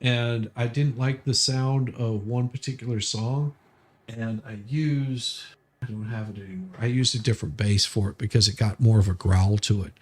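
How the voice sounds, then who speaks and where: A man in casual livestream conversation, telling anecdotes and repeating phrases as he builds his point. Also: lowish voice. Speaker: musician, bass player, livestream co-host.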